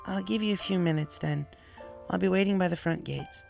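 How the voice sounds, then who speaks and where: A man's voice: sits high, brisk, gravelly, darkish tone, voice pushed up. A man voicing a confident, understanding female pegasus team captain in a story reading, casual and direct, with music behind. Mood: calm.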